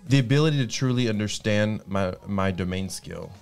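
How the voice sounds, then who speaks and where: A man's voice: lowish voice, gravelly, clean tone, faint music behind. An American man, a native English speaker, talking as a guest in casual chat, earnest and full of restarts. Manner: rapid, earnest, restarts often.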